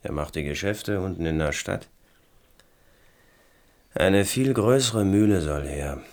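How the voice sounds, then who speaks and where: An actor playing a gruff, distrustful, embittered male recluse and inventor in a German radio play. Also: low voice, expressive, a little slow, darkish tone. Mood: confiding, subdued. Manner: snappish, distrustful.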